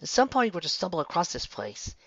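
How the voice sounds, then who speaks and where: A narrator voicing a calm, studious female unicorn pony who leads the group in a composed, instructing way. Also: medium pitch, fast, no room sound, lo-fi sound, voice pushed up, full character voice. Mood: composed, commanding.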